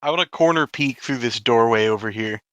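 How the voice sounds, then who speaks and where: Man, tabletop player, casual chat, bright forceful tone, dry recording. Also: mid-range voice, fairly quick, dry recording, muffled recording.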